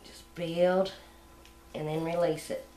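A middle-aged woman hosting a cooking channel, explaining step by step how to decorate a sugar egg at home in a relaxed, homey way. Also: fairly low pitch, slow, reverberant, a little hiss or hum. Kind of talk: hands-on decorating tutorial.

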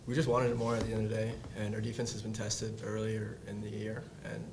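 Young male player, very monotone. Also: lowish voice, fast talker, noisy background. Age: young adult. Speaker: college lacrosse player. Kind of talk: postgame press conference answers. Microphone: table mic on stand.

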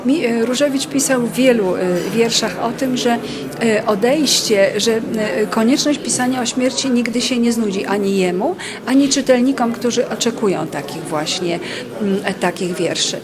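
A woman's voice: fairly high pitch, moderate pace, a crowd in the background. A woman interviewed at a festival, speaking earnestly and articulately with occasional fillers. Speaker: festival event host interviewed. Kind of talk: spontaneous street interview.

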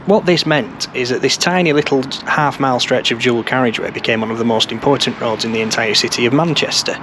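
A man's voice: mid-range voice, fast. A man in his thirties giving informative, wry commentary to camera as a vlog presenter. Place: outdoors beside busy urban roads. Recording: outdoor street noise from passing cars.

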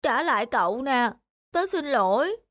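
A woman voicing an earnest young schoolboy in an animated children's story, with childlike delivery. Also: high-pitched, normal speed, noisy background. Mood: remorseful. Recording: faint music behind the voice.

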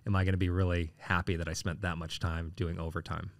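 A man's voice: low-pitched, little pitch movement, quick pace, no room sound. A man in his late forties, a city council candidate answering interview questions casually, with lots of fillers and restarts. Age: 40s. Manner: rambling, frequent fillers and restarts.

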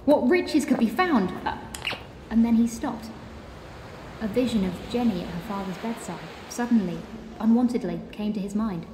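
A woman's voice: on the high side, expressive, normal speed. A woman narrator telling a theatrical, rhyming story in an audio drama. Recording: echoey, long reverb, background ambience noise.

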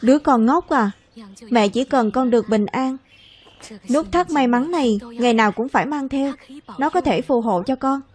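A woman's voice: sits high, moderate pace, darkish tone, slightly rough. A woman voicing a worried, protective mother in a Vietnamese cartoon dub, fretful and admonishing.

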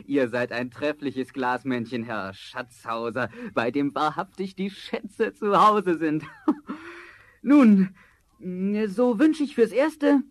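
A man playing a naive, excitable, ambitious young charcoal burner, speaking eagerly and theatrically in a vintage fairy-tale radio play. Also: fairly high pitch, slow. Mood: excited, greedy.

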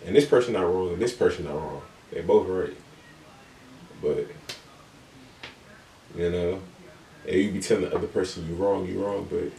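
A man in his twenties hosting a reaction video, recapping in a relaxed, meandering way with many fillers, recorded in a small indoor room with a webcam. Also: low voice, vocal fry, reverberant, recorded from a distance, low-quality recording. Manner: relaxed, meandering, lots of fillers and "you know". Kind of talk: casual rambling recap.

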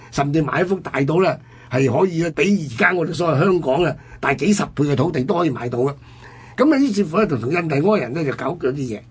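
A man's voice: a little high, breathy edge. A man with a Hong Kong Cantonese accent telling history conversationally as a radio host, in an explanatory manner.

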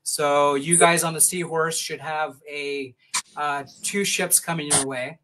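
A man in his forties giving a casual live update as a director, halting and often repeating words. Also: a little high, steady tone, pushed and bright, low-quality recording. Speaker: director of ship operations. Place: hotel room. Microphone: webcam on a video call.

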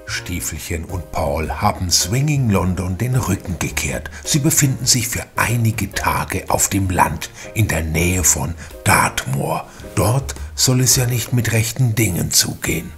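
A man's voice: low voice, expressive, measured pace, a bit bright, soft background music. A man narrating from a script as presenter of an audio drama.

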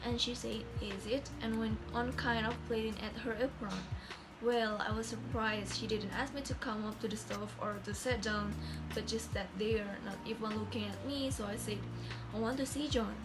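A young woman acts a plain-spoken farmer giving his account in a student stage play. The voice sits fairly high and is recited earnestly, with music clearly playing underneath. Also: steady tone, normal speed, lightly acted, low-quality recording. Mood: earnest recounting. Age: young adult. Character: Lewis Hale, plain-spoken farmer man recounting what he saw. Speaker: student actor in a class play. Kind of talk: amateur stage-play acting, recited monologue. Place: indoors, small plain room.

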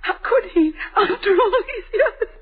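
A woman's voice: extremely high pitch, animated, a little slow. An actress playing a tormented middle-aged mother in a vintage radio drama, tearful and theatrical. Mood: anguished. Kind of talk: 1930s radio acting. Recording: tinny old radio broadcast.

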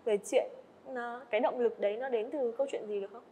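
Young woman host, quick and slightly high, faint background noise. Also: fairly even intonation. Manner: warm-up questions, frequent "ừ" backchannels. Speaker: podcast host. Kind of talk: podcast interview. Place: indoor studio set.

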